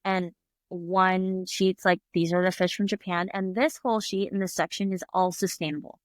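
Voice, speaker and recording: A woman's voice: on the low side, steady tone, moderate pace, no echo. A middle-aged woman telling stories in a casual interview, chatty, laughing often and acting out quoted voices. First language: English. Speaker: restaurant owner, guest.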